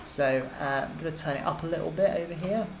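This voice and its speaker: Informal man teaching, clearly noisy recording. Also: on the high side, fast. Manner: informal, explanatory, step-by-step. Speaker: music technology teacher. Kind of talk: software tutorial narration. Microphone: computer microphone.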